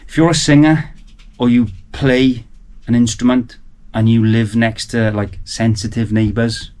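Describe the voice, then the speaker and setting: A man's voice: mid-range voice, little pitch movement, measured pace, a little mellow. A man in his forties, chatting enthusiastically and a little rambly to camera from his small home studio. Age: 40s. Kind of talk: casual chat to camera. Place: small sound-proofed garage studio.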